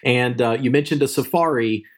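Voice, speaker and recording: A man's voice: medium pitch, moderate pace. A man with an American accent talking casually as a podcast co-host. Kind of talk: casual chat.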